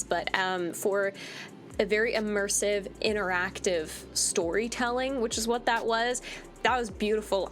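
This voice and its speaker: A woman giving casual, expressive playthrough commentary as a gaming host, playfully reacting aloud. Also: mid-range voice.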